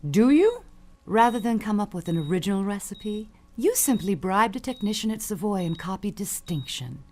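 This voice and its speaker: A woman's voice: mid-range voice, expressive, moderate pace, darkish tone, a little hiss or hum, close to an everyday voice. An actress playing an elderly, dying perfume mogul, an imperious and cutting mother with genteel, falsely sweet manners. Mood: scornful. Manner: genteel, commanding, condescending endearments. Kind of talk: audio suspense drama acting.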